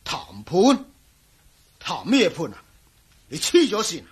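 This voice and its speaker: An actor playing a testy, evasive male professor in a radio drama, raspy and blustering, speaking Cantonese with a Hong Kong accent. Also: sits high, wildly swooping pitch, takes it slowly, exaggerated voice. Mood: alarmed, indignant. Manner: blustering, stammering.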